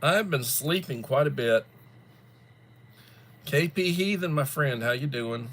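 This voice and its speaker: A man hosting a casual livestream, chatting to viewers while still stuffed up and coughing from the flu, in a rambling, drawn-out way. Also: medium pitch, expressive, fairly bright tone. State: congested, coughing after flu. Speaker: livestream host. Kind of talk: casual chat greeting viewers.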